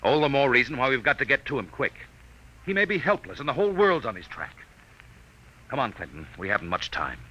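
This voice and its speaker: An actor playing an urgent, persuasive army major with a commanding manner in a vintage radio drama. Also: male voice, medium pitch, very animated, a little fast, muffled recording, lightly acted. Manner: forceful, commanding. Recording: slightly noisy old radio recording.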